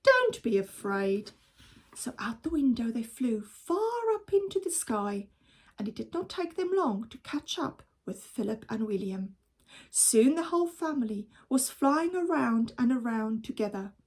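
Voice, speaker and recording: Female storybook reader, teacherly and deliberate, highish voice with very animated intonation. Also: breathy edge. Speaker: teacher reading a storybook aloud. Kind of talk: expressive children's story reading.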